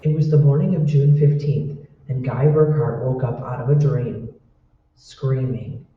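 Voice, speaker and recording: A man's voice: mid-range voice, steady tone, a little slow. A man narrating a scripted radio drama in a dramatic storytelling style. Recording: echoey hall, distant, muffled.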